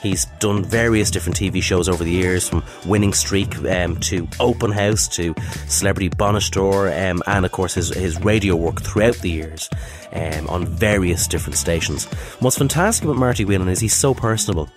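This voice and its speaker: A man's voice: deep voice, moderate pace, few pauses, over music. An Irish man hosting an interview show, sounding a little snuffly from a cold and chatting with plenty of ums. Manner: chatty, warm praise with many ums and uhs. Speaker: podcast host and interviewer. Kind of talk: casual scripted intro and interview.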